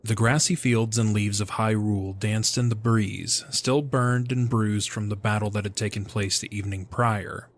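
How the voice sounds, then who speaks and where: A man's voice: lowish voice, fairly even intonation, moderate pace, few pauses. A man giving scripted narration of a fantasy audio drama as its narrator.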